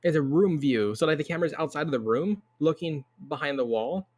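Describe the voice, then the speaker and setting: A man's voice: fairly high pitch, lively intonation, a little fast, a little mellow, no room sound. A man talking casually as a panelist on an online podcast call. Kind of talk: casual chat.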